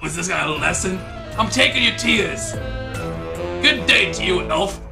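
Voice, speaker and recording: Performer as sensible dog detective, medium pitch, exasperated banter, casual comedy dub, background music. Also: lively intonation, a little slow, creaky. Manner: incredulous, bantering. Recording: music playing behind the voice.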